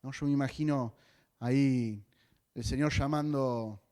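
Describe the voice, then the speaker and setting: A man's voice: medium pitch, a little slow, mellow. A man with a Rioplatense Spanish accent preaching a sermon as a pastor, in a conversational way with joking asides.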